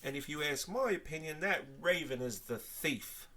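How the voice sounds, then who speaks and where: A man voicing an indignant, blustering male crook in a storybook, shouting accusations and protests. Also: a little high, expressive, moderate pace, pitched above the performer's own voice.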